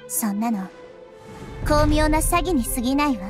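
A woman voicing a small, wise young girl deity in a game, speaking in a measured, gently reproving way. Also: high-pitched, expressive, over music. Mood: earnest. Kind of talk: scripted Japanese game voice acting.

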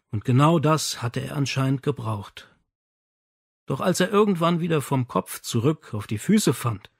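A man's voice: mid-range voice, husky, dry recording, studio quality. A man reading a novel aloud as scripted audiobook narration.

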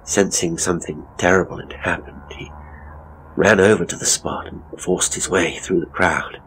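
Actor as low, halting British ghost tour guide. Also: male voice, steady tone, fairly bright tone, a little hiss or hum, rough recording. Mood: grave, suspenseful. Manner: hesitant, halting, dramatic pauses. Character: hapless male ghost tour guide, theatrical, uneasy. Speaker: horror storyteller and voice actor. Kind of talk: dramatic first-person audio drama monologue.

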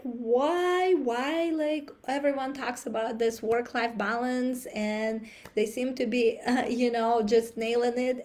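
A woman in her thirties, a life coach, talking casually to viewers on a live stream from a small home office through a laptop webcam; her voice is raspy from a cold, and she laughs and clears her throat often. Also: fairly high pitch.

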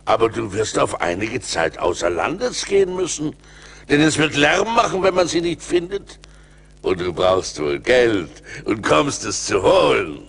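An actor playing a cunning, sinister giant forest spirit in a radio play, with taunting, theatrical delivery. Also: male voice, medium pitch, very animated, measured pace, creaky, fairly bright tone, slightly rough, heavily acted. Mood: gloating. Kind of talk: 1980s German radio play acting.

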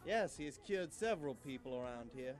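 A student actor playing a gossipy, credulous tavern drinker in a live audio drama. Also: male voice, mid-range voice, expressive, brisk, a little mellow, natural voice. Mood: earnest, awed. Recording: music behind, live radio studio.

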